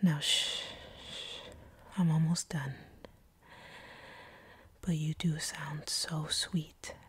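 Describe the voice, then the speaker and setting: Woman as a slow, whispering, seductive vampire. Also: sits low, little pitch movement, fairly bright tone, no echo, heavily acted. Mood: possessive, tender. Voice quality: whispered, breathy. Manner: slow, teasing, commanding. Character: seductive, sadistic vampire woman taunting her captive hunter. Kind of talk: intimate whispered roleplay audio.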